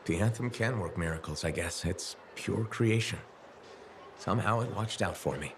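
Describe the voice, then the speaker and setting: Game role: casual, reassuring male adventurer, deepish voice over light noise. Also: normal speed. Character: easygoing young-hearted male adventurer, dutiful son. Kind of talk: video game voice acting.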